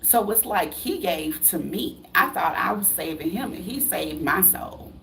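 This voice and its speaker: A woman's voice: on the low side, lively intonation, a little slow, gravelly, fairly bright tone, slight background noise, poor audio. A woman in her late thirties, from the United States, giving a blunt, preachy motivational talk to camera, full of rhetorical questions and casual swearing. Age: 30s. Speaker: vlogger.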